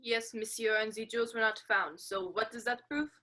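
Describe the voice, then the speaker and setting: A woman's voice: on the high side, steady tone, moderate pace, pushed and bright. A woman playing a curt, defensive French police inspector in an amateur radio play. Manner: curt, indignant.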